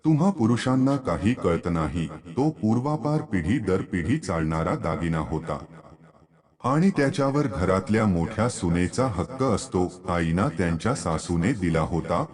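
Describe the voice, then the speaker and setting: A man's voice: deep voice, animated, moderate pace, a little mellow. A man voicing a resentful, argumentative wife in a moral story, complaining and defensive. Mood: aggrieved. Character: resentful, argumentative wife, elder daughter-in-law of the family.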